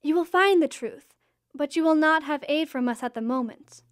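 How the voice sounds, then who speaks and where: A woman's voice: high-pitched, moderate pace, close to an everyday voice. An actress playing a protective queen goddess of the underworld in an audio drama, speaking formally and with emphasis. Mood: firm, protective.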